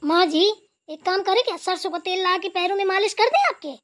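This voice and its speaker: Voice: very high-pitched, lively intonation, brisk, a bit bright, dry recording, studio quality. A performer voicing a dutiful, overworked village daughter-in-law in a Hindi cartoon, chatty and deferential. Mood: matter-of-fact. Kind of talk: Hindi family cartoon dialogue.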